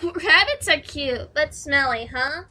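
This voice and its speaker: A woman's voice: high voice, lively intonation, slow pace, sharp tone, full character voice. A woman playing an eccentric, childlike schoolgirl in an audio drama, with a whimsical, theatrical way of talking. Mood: playful. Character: eccentric, childlike schoolgirl who tells fanciful lies.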